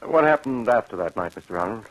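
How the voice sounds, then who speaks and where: An actor playing a calm, probing doctor, measured and polite, in an old-time radio drama. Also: male voice, lowish voice, lightly acted, low-quality recording. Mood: calm inquiry.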